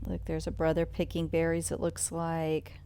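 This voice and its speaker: A woman reading a children's bedtime story aloud in an expressive read-along style, sometimes commenting as she goes. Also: deep voice, moderate pace, smooth. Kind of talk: bedtime story read-along narration with asides about pictures.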